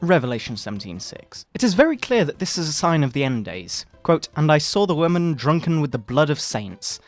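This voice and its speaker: A man voicing a pompous, sanctimonious fundamentalist preacher parent in a mock-dramatic sermon, with theatrical, drawn-out emphasis. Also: fairly high pitch, sing-song, dry recording. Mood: solemn disapproval. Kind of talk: mock-dramatic reading of a moral-panic sermon. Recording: background video game music.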